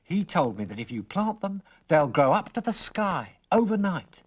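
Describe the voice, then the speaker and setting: A storyteller voicing a sharp, eager young farm boy with quick, cheeky retorts and a husky voice. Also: mid-range voice, very animated, voice pushed up. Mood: proud.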